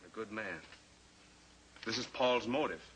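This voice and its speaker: A steadfast male hero of an old radio western. His voice is fairly low and bright, firm and calm in delivery. The vintage recording has faint noise. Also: measured pace, low-quality recording. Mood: calm resolve. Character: steadfast, brave cowboy pilot hero, adult man. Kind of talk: old-time radio drama acting.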